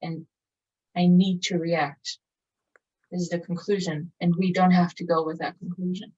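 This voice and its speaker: A woman leading a guided meditation in a calm, contemplative, measured manner with long pauses. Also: on the low side, breathy edge. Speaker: meditation teacher. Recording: distant mic, dull muffled sound.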